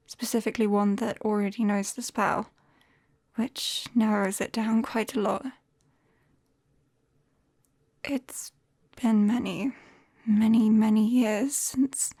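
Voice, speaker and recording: A British woman playing a gentle, shy, caring forest witch in a soft, breathy ASMR whisper, close to a binaural microphone. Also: fairly high pitch, dry recording. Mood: caring, thoughtful. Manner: slow, gentle, hesitant. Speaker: ASMR roleplay performer.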